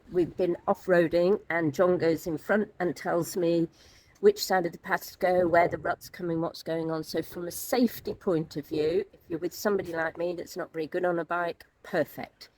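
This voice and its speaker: A woman's voice: fairly low pitch, a little mellow, slight background noise, poor audio. A woman giving a candid, practical review in a casual, conversational style as a co-host. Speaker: vlog co-host and product reviewer.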